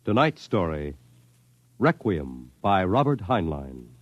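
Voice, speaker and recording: A man narrating a 1950s radio drama in a measured, literary style. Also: sits low, sing-song, no echo, heavily acted. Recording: muffled 1950s radio recording. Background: music.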